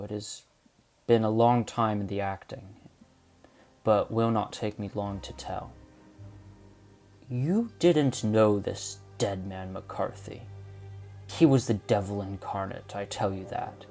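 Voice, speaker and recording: A man's voice: on the low side, a little slow, a little mellow, faint music behind. A man playing a weary, dying old man in an amateur audio drama, grave and remorseful as if confessing. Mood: bitter, confessional.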